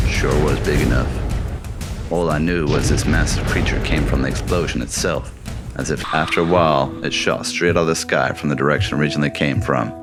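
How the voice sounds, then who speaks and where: A man playing a gruff, war-weary old soldier, telling his story in folksy, plain-spoken scripted narration. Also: rumbling low. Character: gruff, war-weary old male soldier recounting eerie wartime encounters. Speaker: voice actor. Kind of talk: scripted narration, film monologue voice reel.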